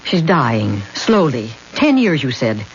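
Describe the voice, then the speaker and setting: An actress playing a hard, impatient, scheming mistress in a 1970s radio drama, with a cold, insistent and cynical manner. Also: female voice, a bass voice, sing-song, takes it slowly, full character voice. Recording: muffled old radio recording with hiss.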